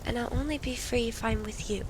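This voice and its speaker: A woman's voice: fairly high pitch, steady tone, a little fast, natural voice. A woman playing an earnest, wounded, devoted boy in an audio drama, speaking imploringly and intimately. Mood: gentle, resolute. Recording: faint background noise and ambience.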